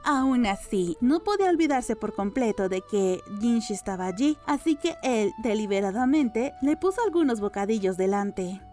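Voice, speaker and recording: A female narrator with a moderately high voice reads a novel aloud. The delivery is scripted audiobook storytelling, with gentle music underneath. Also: lively intonation, moderate pace, few pauses.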